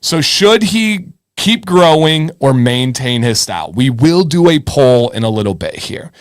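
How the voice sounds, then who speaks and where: A man's voice: medium pitch, very animated, unhurried, dry recording, clean studio sound. Casual, encouraging man hosting a live show. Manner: encouraging, informal, thinks aloud with repeats. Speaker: host of a live beard advice show. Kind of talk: casual live show chat, reading viewer messages and giving advice.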